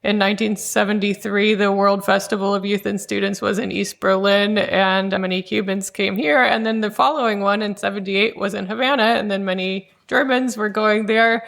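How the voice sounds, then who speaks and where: A woman's voice: mid-range voice, level tone, moderate pace, vocal fry, a bit bright. A woman co-hosting a conversational interview as a researcher, speaking informally. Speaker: podcast co-host and researcher.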